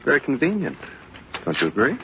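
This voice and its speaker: An actor playing a soft-voiced, mildly polite but insinuating police detective in a 1940s radio suspense drama. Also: male voice, medium pitch, wildly swooping pitch. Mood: bland, insinuating. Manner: mild, insinuating, unhurried politeness. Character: bland, needling, soft-spoken heavyset male police detective. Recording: muffled, noisy vintage radio broadcast.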